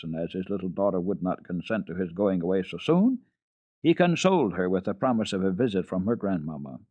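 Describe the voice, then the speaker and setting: A man narrating a classic children's story dramatically, in an expressive, measured storytelling manner. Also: on the low side, no room sound, narrow, tinny audio. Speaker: storyteller, children's radio program host.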